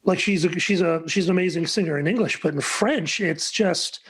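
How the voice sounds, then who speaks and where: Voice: medium pitch, a little fast, husky. A radio co-host asking questions in an interview, enthusiastic and rambling. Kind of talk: interview conversation.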